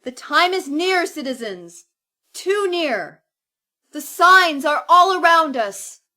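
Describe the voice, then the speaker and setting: A woman's voice: very high-pitched, sing-song, slow pace, pushed and bright, heavily acted. A woman playing a stern cleric who preaches solemnly and declaims to an obedient congregation in an audio drama. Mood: fervent, foreboding.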